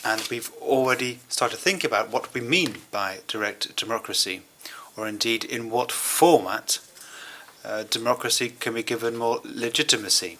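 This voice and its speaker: Bright-voiced male conference interpreter. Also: mid-range voice, slight background noise. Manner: measured, hesitant phrasing with pauses. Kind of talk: simultaneous interpretation of a speech.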